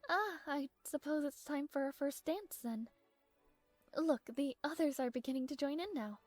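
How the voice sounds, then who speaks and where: Woman acting a high-voiced, proud young princess. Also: moderate pace, no echo, soft background music, natural voice. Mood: poised, proud. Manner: formal regal diction, haughty, hesitant stammering when flustered. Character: strong-willed, proud young princess, easily flustered, secretly smitten. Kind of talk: audio roleplay acting.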